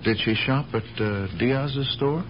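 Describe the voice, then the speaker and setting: An actor playing a patient police detective who asks measured, probing questions in a radio drama. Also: male voice, mid-range voice, lightly acted. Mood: curious. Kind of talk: 1970s radio drama acting. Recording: dull, noisy old radio recording.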